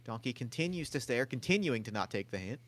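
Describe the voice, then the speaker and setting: A man's voice: mid-range voice, expressive, quick pace, fairly bright tone, dry recording. A man reading stage directions aloud at a table read in a plain, matter-of-fact way.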